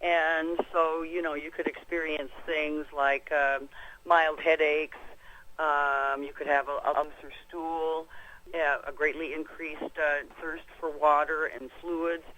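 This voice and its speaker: A woman's voice: deep voice, level tone, takes it slowly, a bit bright, poor audio. An American woman answering as an expert guest on a conference call, explaining things in a folksy, conversational way. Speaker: expert guest, clinic practitioner.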